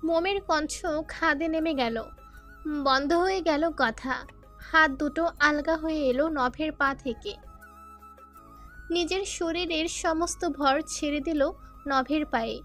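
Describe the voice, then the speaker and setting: A woman's voice: high-pitched, fairly bright tone. A woman narrator reading a scripted dramatic story. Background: faint music.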